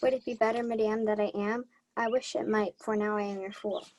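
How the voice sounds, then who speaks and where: A woman's voice: mid-range voice, level tone, a little fast, darkish tone, slight background noise, natural voice. A woman playing an earnest young servant in disguise, speaking formally and courteously in a Shakespeare radio play. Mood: earnest, guarded. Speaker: student actor.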